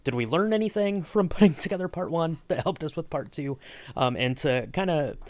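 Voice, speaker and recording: A man's voice: on the high side, expressive, a little fast, a little mellow, no echo. A man hosting a casual behind-the-scenes interview, chatty and explanatory, often checking in with the listener. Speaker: podcast host interviewing a writer.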